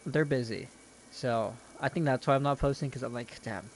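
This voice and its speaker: A man's voice: mid-range voice, moderate pace, a little hiss or hum. A young man casually rambling and chatting to viewers while streaming a game from his bedroom, self-deprecating and talkative.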